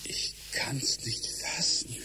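An actor playing a mild, middle-aged husband and father in a radio drama, gently reassuring in a hesitant way. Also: slow, whispered, over music, lightly acted. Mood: stunned. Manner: gentle, hesitant, repeats words. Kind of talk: German radio drama acting.

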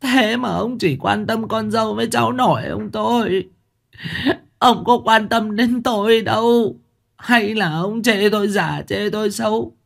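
A man doing a shrill scolding village wife. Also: sing-song, a little slow, creaky, fairly bright tone, heavily acted. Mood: sulking. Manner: whining, scolding, wailing. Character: sharp-tongued older village wife, sulky.

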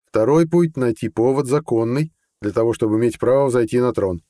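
A man voicing a sly, deliberate judge with a lawyerly manner in an audiobook. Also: mid-range voice, dark tone, dry recording, studio quality, voice pushed up, lightly acted. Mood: measured, persuasive. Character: sly, measured older judge, a scheming conspirator. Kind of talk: audiobook character dialogue.

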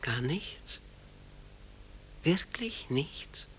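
An older actress playing a genteel, proud old mother who clings to the past, in a measured, dignified radio play style with a husky voice. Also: sits low, very animated, whispered, heavily acted. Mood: puzzled, tender. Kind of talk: German radio play acting.

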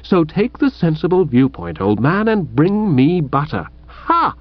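A pompous young gentleman in high-pitched indignation, as a male narrator puts him on, his voice leaping up and down as he lectures and snorts. The sound is dry and close, yet dull and muffled. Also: sing-song, slow, no echo. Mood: righteously indignant. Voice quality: huffy, declamatory, snorting. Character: indignant upper-class young Englishman, pompous.

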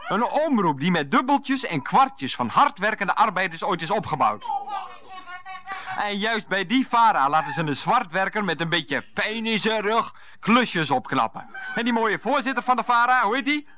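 A man's voice: high voice, sing-song, normal speed, bright, forceful tone, exaggerated voice. An actor playing an evasive, put-upon grown son who stammers and grumbles to his mother on the phone in a 1990s radio comedy. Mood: indignant.

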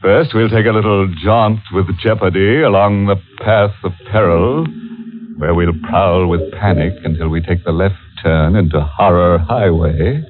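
A man's voice: sits low, a little slow. A man playing a sardonic, mock-polite horror host on a 1940s radio mystery, theatrical and gleefully macabre. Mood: ghoulish glee. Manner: theatrical, alliterative, tongue-in-cheek macabre. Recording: muffled vintage 1940s radio broadcast.

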